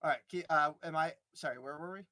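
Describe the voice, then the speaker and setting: A woman's voice: low-pitched, fast talker, sharp tone, no room sound, clean studio sound. A woman chatting and reading a part at a live table read, joking and theatrical in manner. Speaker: cast reader at a live streamed table read. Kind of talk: casual chat and playful script reading.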